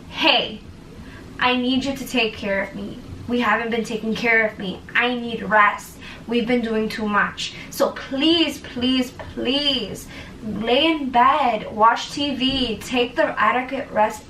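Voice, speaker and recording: A woman's voice: on the high side, pushed and bright, echoey room, distant mic, slight background noise, poor audio. A young woman talking through recovery tips in a casual vlog, chatty and earnest with plenty of fillers. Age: young adult. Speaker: vlogger.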